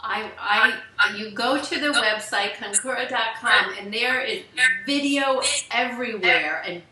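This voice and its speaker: A woman's voice: on the high side, unhurried, pushed and bright, roomy sound, far from the mic, slight background noise, poor audio. A woman hosting a fitness show, bubbly and gushing as she introduces and questions her guest. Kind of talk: enthusiastic podcast hosting.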